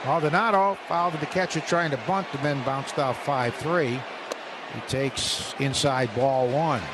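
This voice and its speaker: A man calling live baseball play-by-play on the radio, building up on big plays and reading stats between pitches. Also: measured pace. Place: stadium broadcast booth. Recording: radio broadcast with loud crowd noise.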